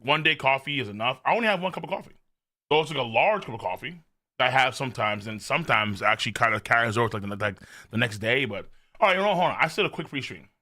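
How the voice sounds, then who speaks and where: A man hosting a livestream, chatting casually in a loud, animated, rambling way with lots of swearing and a slightly strained throat. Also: medium pitch, fast talker. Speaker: live streamer, host. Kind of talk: casual livestream chat, reading viewer messages.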